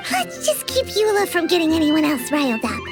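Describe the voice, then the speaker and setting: A tiny, excitable fairy companion from a fantasy game. Her voice sits extremely high and leaps around with lively swings in pitch. She speaks of herself in the third person, and music plays behind her. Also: fairly quick. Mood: chirpy. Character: small chirpy female fairy companion, excitable.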